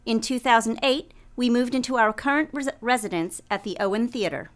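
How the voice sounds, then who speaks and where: A woman with a fairly high voice delivers a scripted announcement. She reads as a promotional narrator for a theatre company. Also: brisk.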